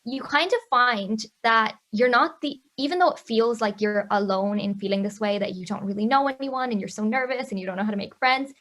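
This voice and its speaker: A young woman on a video call talks casually as a student panelist. Her voice sits fairly high, and she speaks with lots of fillers and frequent light laughs. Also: a little fast, hardly any pauses, fairly bright tone. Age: young adult. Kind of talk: casual webinar chat.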